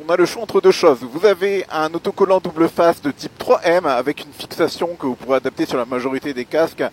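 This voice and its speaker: A man giving a spoken gear review as a motovlogger, explaining things in a measured, hesitant way with pauses. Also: a little high, a little hiss or hum. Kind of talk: spoken product review while riding.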